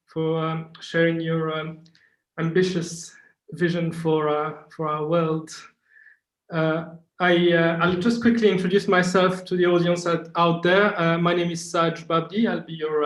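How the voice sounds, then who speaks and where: Man moderating a Q&A, somewhat high pitch, hesitant with fillers, echoey room on a video call. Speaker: lecturer and Q&A moderator. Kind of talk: hosting a Q&A.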